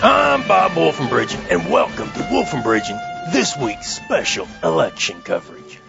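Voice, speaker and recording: A man's voice: mid-range voice, sing-song, moderate pace, creaky, dull audio, over loud music. A man playing an earnest, incredulous TV news interviewer in a parody broadcast, with formal delivery.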